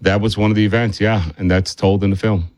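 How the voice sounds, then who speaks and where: A man's voice: on the low side, flat delivery, brisk, a little mellow, no room sound, studio quality. A man speaking conversationally as a film director being interviewed, often circling back and repeating himself.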